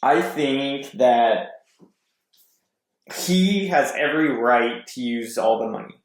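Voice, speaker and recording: A man's voice: mid-range voice, slow. A man guesting on a podcast, reading posts aloud and chatting casually with some hesitant stumbles. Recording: roomy echo.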